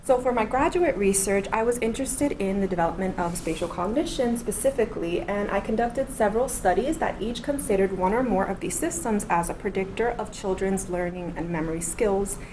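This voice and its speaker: A woman's voice: mid-range voice, brisk, hardly any pauses, a little mellow, a little hiss or hum, low-quality recording. A woman in her thirties giving a methodical academic lecture. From Miami, United States. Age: 30s. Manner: explanatory, methodical, walks through points in order. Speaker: postdoctoral researcher. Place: lecture room.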